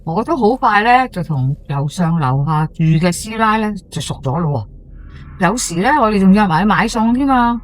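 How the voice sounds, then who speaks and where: A woman's voice: medium pitch, expressive, natural voice. A woman playing a chatty, superstitious housewife in her sixties with a Hong Kong accent, telling a ghost story in a colloquial, gossipy way. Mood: gossipy, spooked. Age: 60s. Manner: colloquial, gossipy, with drawn-out sentence particles and exclamations. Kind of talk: first-person ghost story monologue for audio drama.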